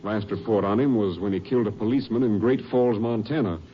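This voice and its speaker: An actor playing a grim, determined Texas Ranger captain in an old radio crime drama, stern and commanding. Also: male voice, on the low side, quick pace, lightly acted. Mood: stern resolve. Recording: muffled, hissy old radio recording.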